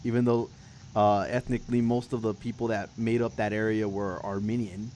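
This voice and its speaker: A man hosting a political talk show, discussing news casually in halting, hesitant phrasing. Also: fairly low pitch, level tone, warm tone, a little hiss or hum.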